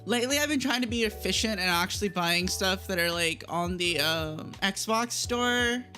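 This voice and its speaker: Bright-voiced, giggly woman streamer. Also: mid-range voice, animated, moderate pace, soft background music. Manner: giggly, easily sidetracked. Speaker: streamer hosting a gaming livestream. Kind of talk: casual livestream chat.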